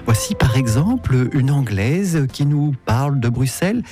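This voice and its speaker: A man's voice: medium pitch, expressive. Man narrating a radio feature over music. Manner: measured, descriptive, gently playful. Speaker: radio reporter and host. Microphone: handheld reporter mic. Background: music bed under narration.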